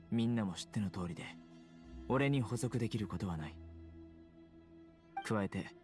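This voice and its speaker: An actor playing a stern, resolute young man in a Japanese anime game, speaking in a measured, matter-of-fact way over music. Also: mid-range voice, darkish tone, natural voice.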